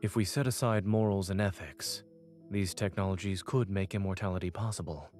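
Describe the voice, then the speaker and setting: An actor playing a calm, authoritative doctor in a video game, speaking in a steady, measured way. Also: male voice, low voice, faint music behind, close to an everyday voice. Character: calm authoritative male doctor, brilliant surgeon and lecturer. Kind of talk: scripted game voice acting.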